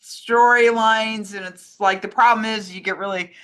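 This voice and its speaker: A woman's voice: on the high side, fairly even intonation, moderate pace, a bit bright. A woman co-hosting a casual, conversational chat about films and television. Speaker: co-host, communications director.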